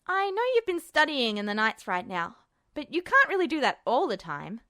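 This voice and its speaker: A woman's voice: high-pitched, sing-song, normal speed, pushed and bright, no echo, studio quality, heavily acted. Woman as wry young older brother, Ed. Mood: curious, puzzled.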